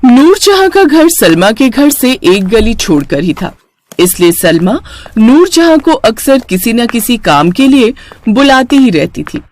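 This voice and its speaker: A woman's voice: a little high, very animated, unhurried, a little mellow. A woman narrating a scripted moral story for children.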